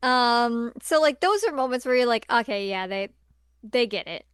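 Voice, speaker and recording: A woman's voice: on the high side, animated, normal speed, fairly bright tone, clear, resonant tone, no echo, clean studio sound. A woman co-hosting a podcast, reviewing films in casual, playful and agreeable conversation. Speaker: podcast co-host. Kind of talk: casual film review chat.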